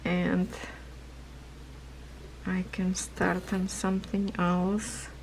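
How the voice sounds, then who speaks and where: A woman chatting casually about her cross-stitch projects, rambling, laughing often, with a hoarse voice as she is losing it. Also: on the low side, monotone, drawn-out words, slight background noise, rough recording. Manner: rambling, non-native phrasing, laughs often. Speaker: craft vlogger. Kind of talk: casual chat while stitching.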